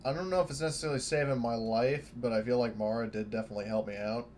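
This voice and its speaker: A man's voice: mid-range voice, steady tone, fast, a little mellow, a little hiss or hum. A man playing a tabletop roleplaying game, talking informally through rules and points. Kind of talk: casual chat during a roleplaying game.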